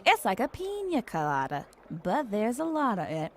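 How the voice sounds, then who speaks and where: A woman's voice: a little high, wildly swooping pitch, normal speed, heavily acted. An actress playing a wry, exasperated young American career woman with a sardonic, candid manner in an audio drama. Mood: annoyed.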